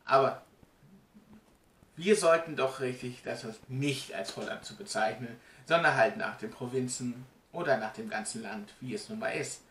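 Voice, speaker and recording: Bright-voiced man in a roomy recording. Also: mid-range voice, moderate pace. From Germany. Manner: chatty, emphatic, addresses viewers directly. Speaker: vlogger. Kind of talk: casual explainer to camera. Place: small indoor room at home. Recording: roomy, distant webcam sound.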